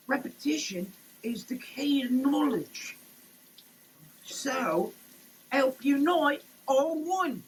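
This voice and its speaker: A person giving a dramatic, declamatory reading in a halting way, often repeating words. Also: mid-range voice, a bit bright, breathy edge. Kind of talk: dramatic, theatrical reading of a product label. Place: in a running shower. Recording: noisy wet shower recording.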